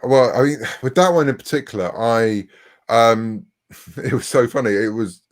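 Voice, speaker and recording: A man's voice: mid-range voice, moderate pace. A middle-aged man talking casually as an interview guest, chatty and often interrupting himself.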